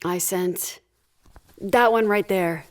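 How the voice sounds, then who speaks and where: A woman's voice: medium pitch, takes it slowly, natural voice. Female actor for no-nonsense spaceship leader, mid-range voice, firm and clipped orders, audio drama. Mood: firm, curt. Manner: curt, authoritative.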